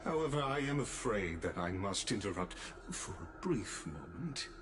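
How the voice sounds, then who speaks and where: A man voicing a composed, courtly official observer in a video game, formal, polite and deliberate. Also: on the low side, sing-song, noisy background.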